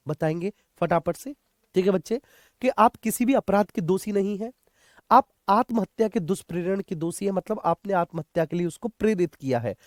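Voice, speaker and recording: Coaching teacher, medium pitch, dry sound, lecture style, repeats key points, familiar with students, frequent check questions. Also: a little mellow, dry recording. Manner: addresses students familiarly as children. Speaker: teacher, exam coaching instructor.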